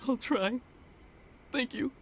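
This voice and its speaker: A man playing a meek, downhearted young man in a video game, halting and glum. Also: very high voice, fairly bright tone, a little hiss or hum, heavily acted. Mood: dejected. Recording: music behind.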